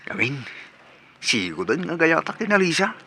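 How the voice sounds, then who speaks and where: A man acting a bright-voiced, lovelorn Ilocano son. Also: fairly high pitch, big pitch swings, moderate pace, slight background noise, heavily acted. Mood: hopeful, excited. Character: lovelorn grown son, hapless and eager suitor. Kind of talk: Ilocano radio comedy drama acting.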